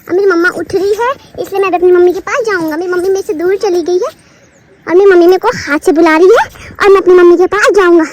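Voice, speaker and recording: A woman's voice: extremely high pitch, fast, a little mellow, a little hiss or hum. A woman voicing a cheeky baby boy who crawls about and chats about his day in sing-song baby talk, as vlog voice-over narration. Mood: playful.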